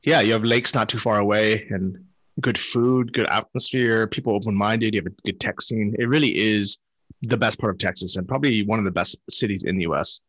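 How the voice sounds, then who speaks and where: Upbeat low-voiced man hosting. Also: a little fast, fairly bright tone, no room sound, low-quality recording. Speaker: podcast host. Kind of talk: casual interview talk.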